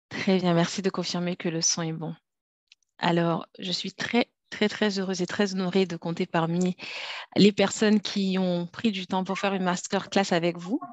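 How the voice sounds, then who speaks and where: A woman's voice: fairly low pitch, dry recording, muffled recording. A woman from France giving a structured, polite masterclass presentation over a video call. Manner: polite, measured, structured, some fillers. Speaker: guest speaker, trainer.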